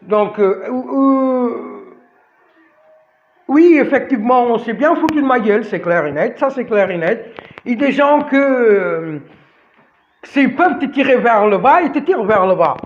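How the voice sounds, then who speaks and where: A man's voice: very high voice, lively intonation, measured pace, a little hiss or hum. A man who moved to France and speaks French as a second language, delivering an emphatic, repetitive rant straight to his listeners with many restarts. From outside France. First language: not French. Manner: addresses listeners directly. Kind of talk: ranting monologue to camera. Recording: dull lo-fi recording.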